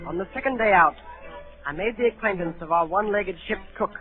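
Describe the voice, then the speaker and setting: A man's voice: high-pitched, a little fast, over loud music, lightly acted. A male actor playing an earnest adolescent cabin boy, telling his story wistfully in a 1930s radio drama. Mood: earnest recounting. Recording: narrow-band 1938 radio broadcast.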